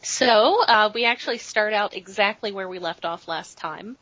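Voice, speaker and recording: A woman hosting a podcast talks in a bright, somewhat husky voice. She rambles casually and fills her sentences with "uh" and restarts. The recording is dull and lo-fi, with a dry, close sound. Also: medium pitch, normal speed, no echo. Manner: chatty and rambling, full of fillers. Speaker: podcast host. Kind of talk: casual chat, recapping comics.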